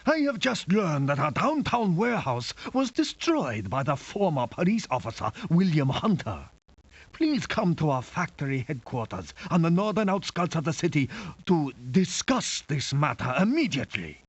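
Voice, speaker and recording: A menacing, grandiose crime lord from a video game. His speech is formal and theatrical, swinging widely in pitch over a husky, gravelly voice. The audio is dull and compressed. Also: very animated, normal speed, no room sound, exaggerated voice. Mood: cold threat. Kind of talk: villain dialogue in a video game.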